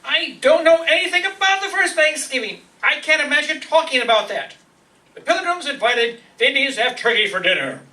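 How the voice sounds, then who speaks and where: A man playing an exasperated but good-natured husband in an old-time radio sitcom, protesting in an animated way. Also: very high voice, brisk, far from the mic, heavily acted, poor audio.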